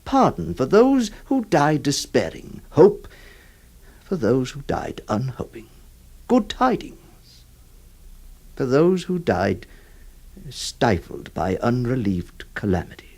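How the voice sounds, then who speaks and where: A man playing a troubled, compassionate lawyer who narrates in solemn, halting, literary phrases for a radio drama. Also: medium pitch, wildly swooping pitch, darkish tone, exaggerated voice. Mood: anguished, grieving. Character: troubled, compassionate male lawyer recounting a sad history.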